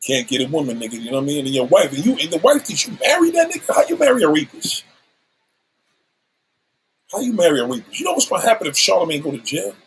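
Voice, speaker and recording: A man's voice: on the high side, very animated, quick pace, a little hiss or hum, low-quality recording. A man in his seventies ranting on a livestream, combative and profane, issuing threats and calling out rivals. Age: 70s.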